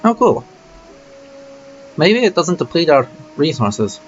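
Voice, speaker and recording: A man's voice: fairly high pitch, sing-song, slow pace, muffled recording, soft background music. A man streaming a game, musing aloud casually about his choices as he plays. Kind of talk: let's play commentary.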